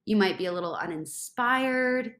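A woman's voice: mid-range voice, animated, moderate pace, a bit bright, reverberant. A congested young woman hosting a casual solo podcast, bubbly and rambling, filling gaps with "like". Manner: bubbly, rambling, says like a lot. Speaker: podcast host.

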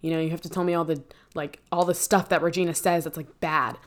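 A woman voicing an excitable, gossipy teenage girl, only lightly changed from her own voice. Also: low-pitched, fast, natural voice. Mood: urgent.

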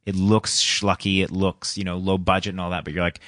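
A man co-hosting a casual podcast chat, telling stories with lots of laughter and animated, shifting delivery. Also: deep voice, a little fast, no room sound, clean studio sound. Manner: animated storytelling, laughs often. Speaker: podcast co-host.

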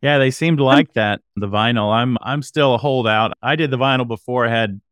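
A man's voice: mid-range voice, normal speed, a bit bright, clear, resonant tone, no echo. A man hosting a podcast, introducing and interviewing in a casual conversational style. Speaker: podcast host.

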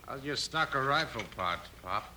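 A man's voice: medium pitch, animated, normal speed, lightly acted. A man playing a curt, shifty outlaw who poses as a cattle buyer, brusque and clipped, in a 1950s radio Western. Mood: gruff impatient. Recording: old radio broadcast, faint noise.

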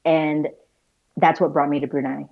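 A woman's voice: low voice, little pitch movement, normal speed, gravelly. A woman in her thirties from Malaysia, a guest talking casually and thoughtfully about her path, with self-deprecating asides. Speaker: guest, visual storyteller. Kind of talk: casual conversational interview. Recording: dull lo-fi sound.

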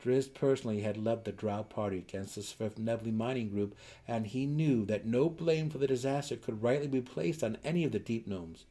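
A man with a medium-pitched voice narrates a fantasy novel. His reading is a dramatic, scripted storytelling performance. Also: normal speed, few pauses, mellow. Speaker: audiobook narrator.

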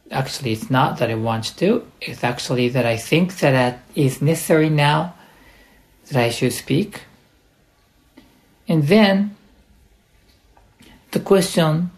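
A man with a Japanese accent, a coach hosting an instructional podcast, speaking deliberately in short, halting phrases. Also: on the high side, a little mellow. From Japan, partly raised in the United States.

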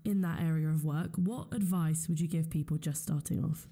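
Mellow, lower-pitched woman interviewer. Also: steady tone, moderate pace. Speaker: interviewer, host. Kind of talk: informal conversational interview.

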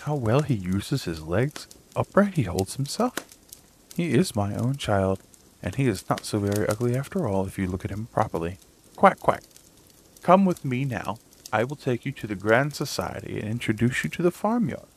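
Fussy mother duck, high and fluttering, gravelly edge, put on by a male reader, faint hiss behind. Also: lively intonation, moderate pace, lightly acted. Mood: proud.

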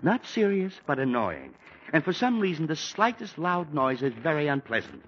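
Annoyed 1940s radio dad, raised and lively voice, muffled hissy recording. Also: male voice, fairly high pitch, normal speed. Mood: exasperated. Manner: blustering, fussy and easily annoyed. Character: exasperated middle-aged father with a headache. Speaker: father of a teenage son.

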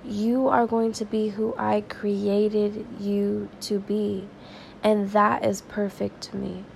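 Woman podcast preacher, stuffy and raspy from crying; gravelly, slow, earnest delivery. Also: medium pitch, flat delivery, a little mellow, a little hiss or hum. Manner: earnest, conversational, slow and prayerful. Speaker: devotional podcast host. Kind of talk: informal devotional talk and prayer.

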